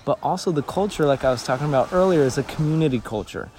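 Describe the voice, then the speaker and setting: A man raised in Tanzania speaks as a missionary, earnest and story-driven, often restarting his sentences. His voice sits a little high for a man. Faint outdoor ambience hums behind him. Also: lively intonation, quick pace, darkish tone, a little hiss or hum. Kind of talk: conversational interview.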